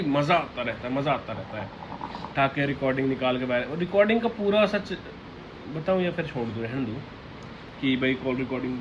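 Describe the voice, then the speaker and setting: A man addressing followers informally and unscripted, halting and repeating his phrases, in a noisy recording. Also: on the high side. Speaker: online content creator. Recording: clearly noisy ambient background.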